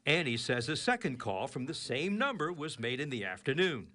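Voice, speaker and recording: A man working as a consumer reporter, delivering a scripted broadcast news report in a deliberate, emphatic way. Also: mid-range voice, big pitch swings, pushed and bright, a little hiss or hum.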